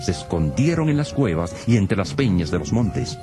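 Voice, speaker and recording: A man's voice: on the low side, big pitch swings, moderate pace. A man narrating dramatized scripture in a solemn, dramatic manner. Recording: loud music behind.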